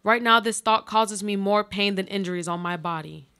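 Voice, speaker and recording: A woman's voice: mid-range voice, normal speed, pushed and bright, clear, resonant tone. A woman playing another woman's desperate, insistent double in a live radio play, with solemn, literary delivery. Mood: pained, accusing.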